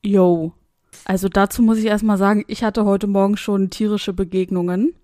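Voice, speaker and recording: A woman co-hosts a relaxed podcast, recording remotely from a hotel room. Her voice is warm and mellow. She chats in an easygoing way, and the sound is close and dry. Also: mid-range voice, moderate pace, no room sound. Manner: relaxed, chatty. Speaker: podcast co-host. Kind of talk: casual chat.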